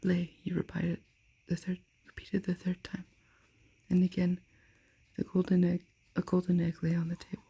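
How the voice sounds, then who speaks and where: A woman softly whispering a fairy tale aloud, as in an ASMR reading. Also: lowish voice, completely flat delivery, moderate pace, muffled recording. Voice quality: breathy. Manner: soft unvoiced whisper. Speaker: storyteller.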